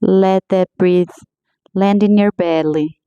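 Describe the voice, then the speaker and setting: A woman guiding a meditation in a slow, soothing, gently paced scripted voice. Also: mid-range voice, steady tone, smooth, dry recording, muffled recording. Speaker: meditation guide.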